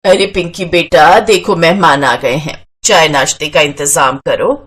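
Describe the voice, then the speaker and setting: A woman voicing a middle-aged Indian mother in a storybook cartoon, firm and eager to please, speaking in a slow, emphatic way. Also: low voice, a bit bright, a little hiss or hum, voice pushed down. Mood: calling out.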